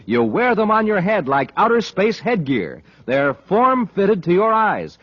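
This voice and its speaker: A man's voice: fairly high pitch, fairly bright tone, no room sound. An American man announcing in emphatic 1950s radio style, with scripted hard-sell showmanship. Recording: slightly noisy, muffled 1950s radio recording.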